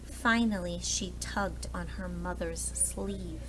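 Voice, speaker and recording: Slow-paced woman reading a storybook. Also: fairly low pitch, background noise. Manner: explains words and asks listeners questions. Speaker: preschool teacher reading aloud. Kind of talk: expressive children's storybook read-aloud.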